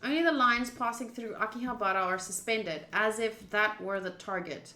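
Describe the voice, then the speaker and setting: A woman livestreaming a visual novel playthrough, switching between casual chat and expressive reading of the game text aloud. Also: mid-range voice, moderate pace, echoey room. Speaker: streamer playing a visual novel.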